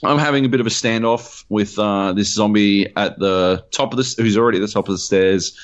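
A man's voice: lowish voice, a little fast, clean tone, lo-fi sound. A man with an Australian accent, co-hosting a podcast and telling a long, swear-filled story with lots of "uh" and "like". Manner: animated, profane storytelling with many fillers. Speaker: podcast co-host. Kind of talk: casual podcast chat.

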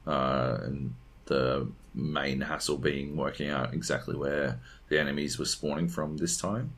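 A man's voice: very deep voice, fairly even intonation, a little slow, fairly bright tone, slightly rough. A man chatting casually and bluntly as a podcast co-host, rambling and swearing as he reviews video games. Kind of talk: casual unscripted chat.

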